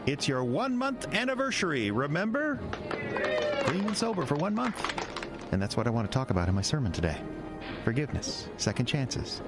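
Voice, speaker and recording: Animated, earnest young pastor. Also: male voice, on the high side, a little fast, over loud music. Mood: falsely cheerful. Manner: earnest, insistent. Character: earnest, scheming young small-town pastor, self-righteous. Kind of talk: audio drama acting.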